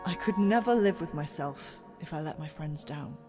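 A woman's voice: low-pitched, expressive, moderate pace. A woman with an English accent playing a proud, weary storyteller and reluctant regent, theatrical and hesitant, in a fantasy audio drama. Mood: weary, defensive. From England. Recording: reverberant hall with music.

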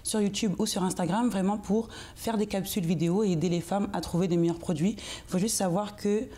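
A woman's voice: medium pitch, fairly even intonation, brisk, dark tone. A young woman from France, a guest in a TV studio interview, explaining earnestly with many fillers. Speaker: guest, cosmetics entrepreneur. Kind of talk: interview answers.

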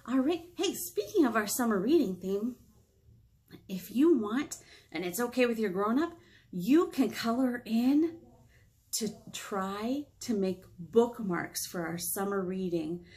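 A woman's voice: a little high, lively intonation, measured pace, a little mellow. An American woman in her thirties hosting a children's storytime, chatting in simple phrases and asking young listeners questions. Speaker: children's librarian, storytime host. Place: small indoor room, painted wall.

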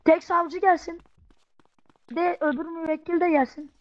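High-voiced, insistent woman. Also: fairly even intonation, moderate pace, no echo. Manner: insistent, demanding. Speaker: roleplay game player. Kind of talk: in-character complaining in game roleplay. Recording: dry, dull game voice chat.

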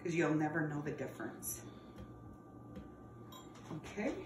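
A woman in her thirties gives a friendly, step-by-step cooking lesson for kids in a home kitchen. She is picked up by a camera across the counter, so she sounds distant and roomy. There is a clear layer of background noise behind her voice. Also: deep voice, expressive, a little slow. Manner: friendly, explains step by step while preparing food, calls viewers friends. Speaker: teacher hosting a cooking class for children. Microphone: camera across the counter, no visible mic.

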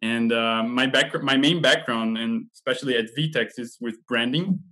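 A man's voice: mid-range voice, normal speed, low-quality recording. A man in his thirties from Brazil, talking relaxedly as a guest on a video call over a headset. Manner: relaxed, with fillers and light laughs. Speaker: guest, head of content. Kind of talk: casual video-call interview. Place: home room.

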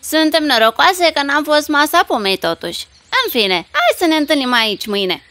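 A woman voicing a caring, expressive young village girl in a dubbed cartoon fairy tale. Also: high-pitched, dry recording, full character voice. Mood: friendly.

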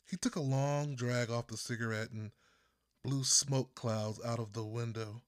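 Slow, measured male storyteller, dry close sound. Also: medium pitch, fairly even intonation, dry recording. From Texas, United States. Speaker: author reading own audiobook. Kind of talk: scripted first-person narration.

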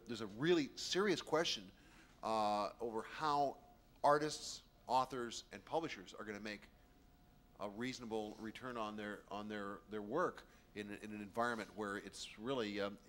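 A middle-aged man speaks haltingly on a discussion panel, with many pauses and fillers. His voice is fairly low. There is a faint room hiss behind him. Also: fairly bright tone. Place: hotel conference room. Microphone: table mic on a stand.

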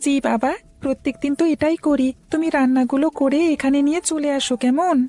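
A woman's voice: high voice, fairly even intonation, fairly quick, warm tone, faint music behind, lightly acted. A woman voicing a cheerful, obedient young schoolboy in a cartoon story. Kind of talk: Bengali cartoon story acting.

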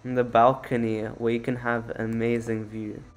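A man's voice: mid-range voice, completely flat delivery, normal speed, faint music behind, low-quality recording. A man co-hosting a casual game tour, talking in a teasing, playfully boastful way. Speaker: co-host of a Roblox build tour.

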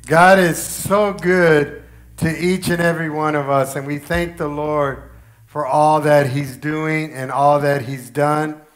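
A man in his forties, a pastor, speaking earnestly to his congregation with a raspy, strained voice, often repeating phrases for emphasis. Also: fairly high pitch, steady tone, slow. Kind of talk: church announcements and sermon. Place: church stage with pink-lit wall. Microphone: headset mic.

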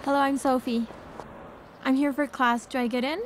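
An actress playing a timid young learner driver who gets defensive when scolded. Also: female voice, high voice, fairly even intonation, moderate pace, slight background noise, lightly acted. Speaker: driving student.

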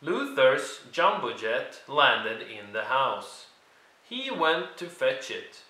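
Slow, expressive man reading a storybook. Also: mid-range voice, reverberant. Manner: deliberate, clearly phrased, expressive. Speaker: picture book reader for children. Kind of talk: read-aloud storybook narration.

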